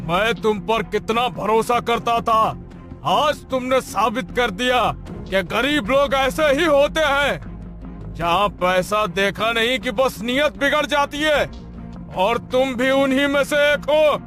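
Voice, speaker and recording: A man's voice: very high-pitched, takes it slowly, a bit bright, faint music behind, exaggerated voice. A man voicing a stern, commanding rich landowner in a cartoon story. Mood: accusing. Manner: commanding, accusing.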